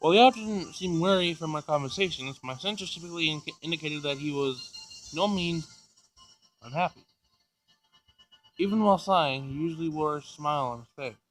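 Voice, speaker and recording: Man, fairly high voice, let's play read-aloud, halting, repeats words, music behind. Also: low-quality recording. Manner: halting reading with stumbles and repeats. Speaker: gaming video host reading a visual novel aloud.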